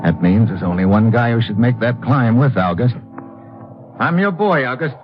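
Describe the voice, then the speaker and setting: An actor playing a tough, wry adventurer hero in an old American radio drama, with a sardonic, wisecracking delivery. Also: male voice, mid-range voice, animated, moderate pace, natural voice. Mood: wry, determined. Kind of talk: 1950s radio adventure acting. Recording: muffled old radio recording, music behind.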